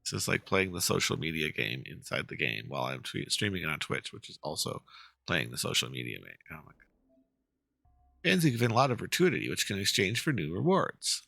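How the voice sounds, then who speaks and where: A man's voice: deep voice, sing-song, a little fast, gravelly, bright, forceful tone, dry recording, studio quality. A man livestreaming a game, chatting casually and reading text aloud with mumbled asides. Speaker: game streamer. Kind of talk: casual livestream chat and dramatic read-aloud of game dialogue.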